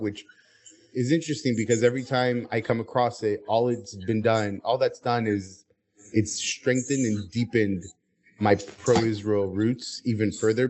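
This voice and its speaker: Podcast guest: American male, lower register, articulate, explains as he goes. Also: darkish tone. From United States, Southern California. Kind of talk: interview conversation.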